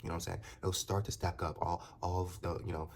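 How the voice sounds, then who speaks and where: A man's voice: sits low, level tone, quick pace, creaky, darkish tone, slight background noise. A young man vlogging to camera in a casual, rambling way. He stammers and restarts often, leans on fillers, and turns heated and emphatic as he argues his point. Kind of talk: casual talking-to-camera commentary.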